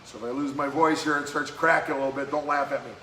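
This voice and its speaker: A man leading a live-streamed workout as fitness coach, giving brisk, encouraging exercise cues in a slightly hoarse voice that keeps cracking. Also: fairly high pitch, steady tone, pushed and bright. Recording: echoey room with faint background noise.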